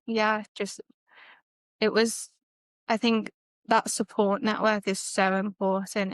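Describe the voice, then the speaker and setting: Smooth-voiced Liverpool woman, flat delivery. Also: medium pitch, no room sound. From Liverpool, England. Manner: hesitant, many fillers, laughs often. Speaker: guest, costs consultant. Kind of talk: casual podcast interview.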